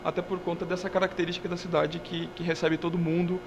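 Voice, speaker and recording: Low, gravelly woman. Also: flat delivery, fast talker, noisy background. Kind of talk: interview answer.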